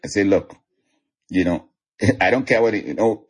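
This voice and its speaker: Husky Nigerian preacher, chuckling often. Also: male voice, on the low side, animated, moderate pace. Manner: chuckles often, repeats declarations. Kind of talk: online sermon.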